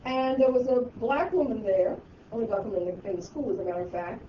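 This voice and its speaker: A woman's voice: fairly high pitch, quick pace. Earnest woman rambling in an echoey room. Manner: earnest, rambling with many fillers. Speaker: teacher. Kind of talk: group discussion. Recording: echoey distant dull mic with noise.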